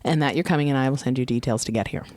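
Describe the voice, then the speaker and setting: A woman's voice: rumbling low, very fast, a little mellow. A woman co-hosting a podcast, giving event details in an informal, direct, chatty way. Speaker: podcast co-host. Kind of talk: casual podcast chat, announcing tour details.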